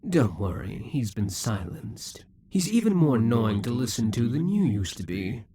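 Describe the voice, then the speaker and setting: A man voicing a possessive, scheming male demon king in a scripted audio roleplay, speaking in slow, breathy half-whispers. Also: mid-range voice, sing-song, warm tone. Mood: gloating, possessive.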